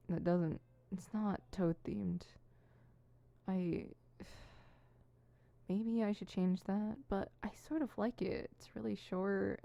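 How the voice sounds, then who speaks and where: A woman's voice: mid-range voice, creaky, mellow, no echo, lo-fi sound. A woman playing an insecure, theatrical supervillain rehearsing monologues in an ASMR roleplay, switching between fumbling mutters and pompous recital. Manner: fumbling self-conscious muttering broken by pompous verse recital. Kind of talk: ASMR roleplay, scripted solo audio drama.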